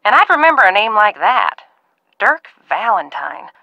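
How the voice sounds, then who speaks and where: A woman acting a kindly, fretful middle-aged ranch-owner aunt in a video game, talking in a folksy, motherly, expressive way. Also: mid-range voice, moderate pace, vocal fry, no echo, full character voice, poor audio. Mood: excited, starstruck.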